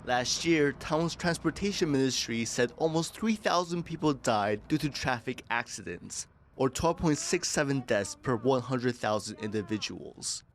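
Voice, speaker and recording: A man delivering a scripted news report in a lively, expressive way. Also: medium pitch, a little hiss or hum.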